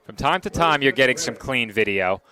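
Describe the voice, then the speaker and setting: A man calling a live football game play-by-play, brisk and precise with a lightly wry touch. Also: medium pitch, lively intonation, pushed and bright, low-quality recording. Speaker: play-by-play announcer.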